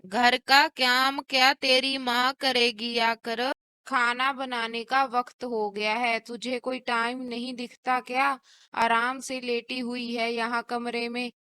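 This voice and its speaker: A woman playing a harsh, bossy middle-aged Indian mother-in-law in a cartoon story, scolding with sharp sarcasm. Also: on the high side, level tone, slow pace, smooth, no echo. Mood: angry, scolding. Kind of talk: cartoon moral story dialogue.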